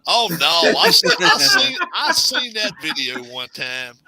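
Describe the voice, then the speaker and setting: A man's voice: a little high, wildly swooping pitch, a little slow, crowd noise. A man hosting a racing stream, joking and swearing freely in casual banter. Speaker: stream host.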